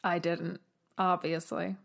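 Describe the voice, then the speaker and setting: A woman's voice: lowish voice, flat delivery, slow pace, gravelly. A woman co-hosting a podcast with casual banter and an informal, sweary way of talking. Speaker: podcast co-host.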